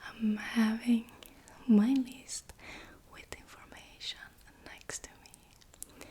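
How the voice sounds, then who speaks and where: Slow whispering Swedish woman. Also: on the high side. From Sweden. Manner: soft whisper, slow and careful, drifting closer and farther from the mic. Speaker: ASMR creator. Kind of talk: whispered ASMR folklore narration.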